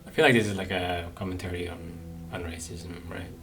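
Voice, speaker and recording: A man's voice: deep voice, steady tone, fairly quick. A man doing casual let's play commentary, musing tentatively and thinking aloud as a gaming streamer. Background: game music.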